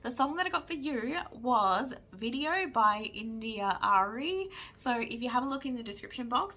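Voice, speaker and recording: Gravelly-voiced woman reading tarot cards. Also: medium pitch, bright, forceful tone. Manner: chatty, keeps checking in with "right?". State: losing her voice. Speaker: psychic tarot reader. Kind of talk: pick-a-card tarot reading to camera.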